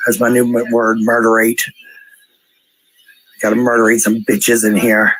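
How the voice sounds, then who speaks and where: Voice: medium pitch, monotone, normal speed, fairly bright tone, rough recording. A person ranting casually in a blunt, coarse, profane way, heard on a played-back voice clip.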